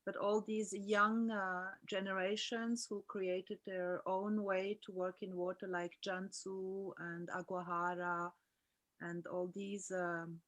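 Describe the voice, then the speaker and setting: A woman's voice: mid-range voice, flat delivery, slow pace, a bit bright. Earnest woman talking on a video call. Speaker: guest, aquatic therapy pioneer. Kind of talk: casual conversation on a video call.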